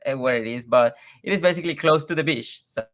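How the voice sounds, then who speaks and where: Mexican guy with accent, spanish first language, casual interview guest, kinda halting, medium pitch. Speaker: guest, software engineer.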